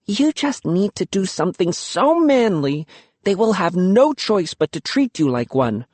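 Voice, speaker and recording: A spirited young girl who teases and pushes her friend, her voice pitched high and swinging freely, as the audiobook narrator voices her. There is a husky grain under it, and the sound is close and dry. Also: very animated, measured pace, darkish tone, no echo. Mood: insistent. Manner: playful, insistent. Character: spirited young village girl, teasing and bold.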